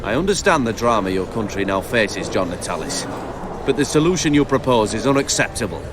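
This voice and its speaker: An imperious, calculating game monarch , performed by a man. His voice is on the low side, with regal and deliberate delivery that commands. Clear ambient noise runs behind it. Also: animated, natural voice. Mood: cold, commanding. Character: imperious, calculating fantasy king, commanding and cold. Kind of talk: dramatic game acting.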